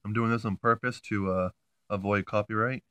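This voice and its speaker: A man's voice: fairly low pitch, steady tone, normal speed, very noisy. A man talking casually and informally in a rambling, mildly amused way, like someone giving a hands-on hobby demo. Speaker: hobbyist video maker.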